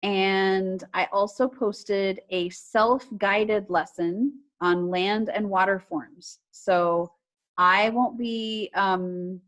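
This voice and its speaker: A woman's voice: mid-range voice, fairly even intonation, very slow, a bit bright. A woman speaking as an elementary teacher, reading aloud and talking casually to her pupils in an online class. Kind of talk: read-aloud storytelling and casual announcements to pupils. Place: remote online class session.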